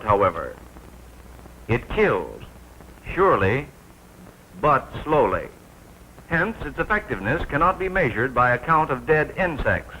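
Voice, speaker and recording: An American man delivers formal instructional narration in the style of a 1940s military film. His voice is bright and forceful at a medium pitch, with animated, rising and falling intonation. Every phrase is precise and announcer-like, over the faint noise of an old film soundtrack. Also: low-quality recording.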